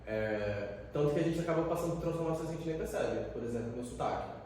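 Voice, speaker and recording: A man's voice: on the high side, lively intonation, quick pace, darkish tone, slight background noise. Earnest young man in an echoey room. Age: 20s. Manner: reflective, earnest, many fillers. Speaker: youth educator. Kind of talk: casual podcast conversation. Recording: echoey room, distant mic.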